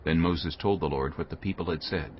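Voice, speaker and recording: A man narrating scripture from a script in a measured storytelling manner. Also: deep voice, highs cut off, slight background noise.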